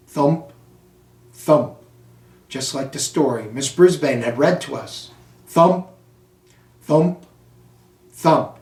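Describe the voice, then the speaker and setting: Distant, echoey man reading a lively children's story. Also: on the high side. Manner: theatrical, dramatic emphasis on action words. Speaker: school superintendent reading aloud to pupils. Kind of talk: expressive children's storybook narration. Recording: roomy echo, distant microphone.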